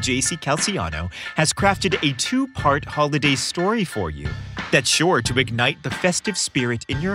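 A man hosting a story podcast, reading scripted narration with a playful, theatrical delivery, close on the microphone. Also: fairly high pitch.

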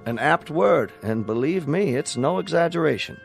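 An actor playing a courteous, persuasive corporate salesman in a radio drama, with a polished, flattering manner. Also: fairly high pitch, moderate pace, vocal fry, soft background music.

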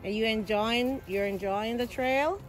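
A woman's voice: a little high, a little slow, faint music behind. A woman playfully interviewing a child, in an exaggerated presenter tone.

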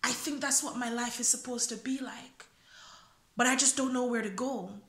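A young woman from Jamaica delivers a freestyle poem straight to her phone camera. Her voice sits a little high and carries a searching, earnest tone as she asks question after question. The small room around her adds a noticeable echo. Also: fairly even intonation, normal speed, fairly bright tone. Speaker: spoken word poet. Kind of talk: freestyle spoken word poetry. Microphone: phone camera close up.

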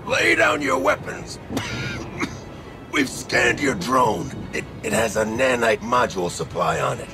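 An actor playing a menacing corporate boss in a video game, issuing commands and threats in a halting delivery. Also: male voice, sits high, huge pitch swings, vocal fry, music in the background, full character voice. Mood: commanding, threatening. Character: menacing male corporate boss demanding surrender.

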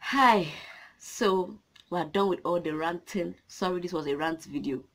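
Husky, fired-up Nigerian woman ranting. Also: lowish voice, a little slow. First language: Nigerian language. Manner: passionate, animated, gesturing. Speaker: vlogger. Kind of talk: ranting to camera. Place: small indoor room with a draped cloth backdrop.

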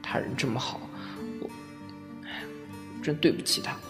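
A man's voice: unhurried, over music, natural voice. A man acting as a young rookie singer in a radio drama, timid and apologetic, speaking hesitantly. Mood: troubled, self-blaming. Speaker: voice actor.

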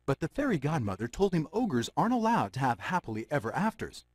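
A theatrical fairy-tale narrator for a video game. His husky voice swings widely through playful, dramatic phrases. The sound is close and dry. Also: on the high side, very animated, fast talker, dry recording. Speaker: storybook narrator.

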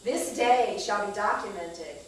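Woman, thirties, poetic deliberate reading, distant mic, faint noise. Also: medium pitch, heavy reverb. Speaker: spoken-word storyteller on stage. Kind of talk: rehearsed dramatic personal monologue read to an audience. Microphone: far camera microphone.

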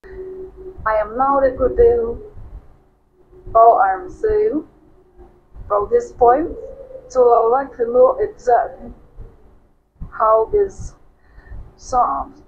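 A man's speech turned by an AI voice changer into a woman's voice, slow and halting, with words partly garbled. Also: very high voice, animated, creaky, voice pushed up, heavily acted. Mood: flat. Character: synthetic adult woman's voice from a voice changer. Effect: AI voice-changer conversion. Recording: muffled lo-fi playback with faint hiss.

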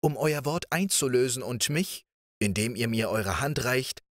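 A man voicing a witty, ugly young prince who pleads emphatically with a high, lively voice. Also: a little slow, no echo, studio quality, close to an everyday voice. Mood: eager.

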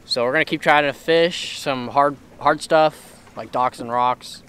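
A man's voice: mid-range voice, measured pace, fairly bright tone, clean tone. A young man hosting a casual fishing vlog from an open boat on a lake, talking into an action camera in a laid-back, rambling way. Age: young adult. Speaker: fishing vlogger host. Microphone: action camera close to face.

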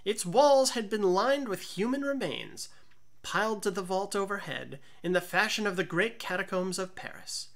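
A woman reading a gothic story aloud in a deliberate, theatrical narrating style. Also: mid-range voice. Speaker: audiobook narrator.

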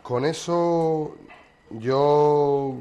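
A man's voice: a little high, drawn-out words, warm tone, dull audio. A man in his thirties from Spain, a sports journalist guest chatting casually in a kitchen interview, telling rambling anecdotes with many restarts. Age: 30s.

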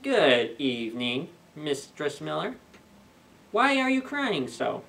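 A man doing a slow, high, sly little imp. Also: big pitch swings, gravelly, fairly bright tone, exaggerated voice. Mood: sly greeting. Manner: slow, drawn-out, scheming. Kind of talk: storybook character voice. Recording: slightly distant camera microphone.

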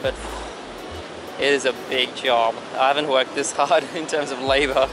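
A man's voice: medium pitch, flat delivery, normal speed, music in the background. A young man in his twenties, casually explaining his day's work while walking outdoors and filming himself with a handheld camera. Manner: chatty, explaining as he walks. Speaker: travel vlogger. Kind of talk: casual vlog narration. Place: outdoors at a beach turtle hatchery. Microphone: handheld camera at arm's length.

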